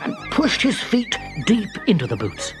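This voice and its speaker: A man reading a children's story aloud with lively, expressive narration. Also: high-pitched, unhurried. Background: background music.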